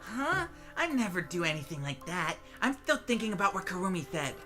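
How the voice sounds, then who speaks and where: A sly teenage boy, played by a woman, with a low voice that swings around theatrically. He wheedles like a practised liar over clearly audible music. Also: very animated, brisk, sharp tone. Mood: indignant. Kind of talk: anime game fandub acting. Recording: music playing behind.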